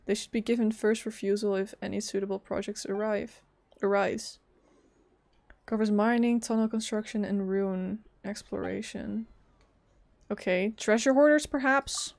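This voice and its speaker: A woman's voice: mid-range voice, steady tone, moderate pace, creaky, a little mellow. A woman streaming a game, reading text aloud and reacting with informal, casual commentary. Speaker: gaming streamer. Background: faint game music.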